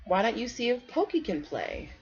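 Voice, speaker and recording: A woman voicing a practical, brisk squirrel mother in a read-aloud storybook, speaking emphatically to her child. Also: a little high, sing-song, a little mellow, dull audio, pitched above the performer's own voice. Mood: practical, coaxing.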